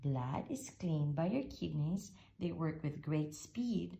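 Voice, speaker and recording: A woman slowly reading a rhyming picture book aloud, with an expressive read-aloud manner. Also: gravelly. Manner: slow, expressive read-aloud with lively pitch. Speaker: storybook reader.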